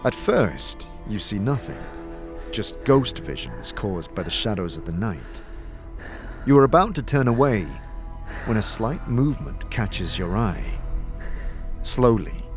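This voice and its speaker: Man, fantasy audio drama narrator, dramatic scripted delivery, deliberate phrasing, expressive pitch range, quiet music behind. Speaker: narrator and game master.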